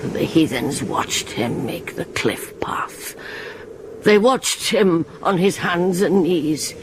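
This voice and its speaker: A woman narrating a ghost legend in a hushed, rhythmic, ominous way. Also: lowish voice, animated, slow, whispered. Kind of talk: slow dramatic storytelling.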